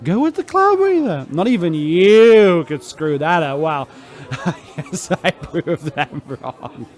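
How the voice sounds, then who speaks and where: An actor playing a self-doubting, poetic spaceship captain in a sci-fi radio drama, rambling theatrically. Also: male voice, a little high, big pitch swings, slow. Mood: despairing, self-pitying.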